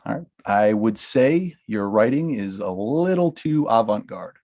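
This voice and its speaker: A man's voice: fairly low pitch, expressive, unhurried, a little mellow. A man reading a blunt Hollywood manager. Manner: brusque, dismissive, laughs through lines. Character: blunt money-minded male talent manager. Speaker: actor in a table read. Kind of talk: comedy table read.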